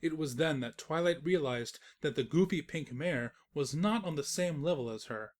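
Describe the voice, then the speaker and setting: A woman's voice: deep voice, expressive, normal speed. A woman narrating a scripted comedic story as its storyteller.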